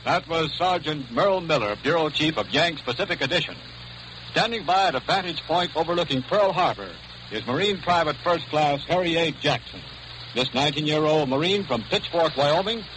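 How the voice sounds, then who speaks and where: A man's voice: fairly high pitch, expressive, normal speed, a bit bright. An American man announcing in a scripted 1940s radio broadcast. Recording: noisy vintage 1940s shortwave radio broadcast.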